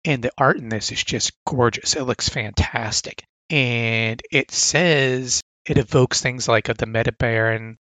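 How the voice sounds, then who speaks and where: A man's voice: mid-range voice, bright, forceful tone, dry recording. A man with a sore throat casually reviewing comic catalog picks, reading blurbs aloud with enthusiastic asides.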